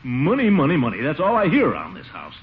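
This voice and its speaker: Flustered, self-important uncle, animated 1940s radio comedy delivery, muffled vintage sound. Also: male voice, a little high, quick pace. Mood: indignant. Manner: blustery, muttering, self-important. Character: pompous, flustered middle-aged uncle guarding money. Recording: dull, noisy 1940s radio recording.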